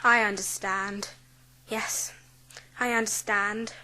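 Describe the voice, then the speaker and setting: A woman playing a proud, bereaved young girl in an audiobook drama, composed, formal and quietly defiant. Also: mid-range voice, level tone, takes it slowly, slight background noise, poor audio. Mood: subdued, grave. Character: proud, self-possessed, bereaved seven-year-old girl.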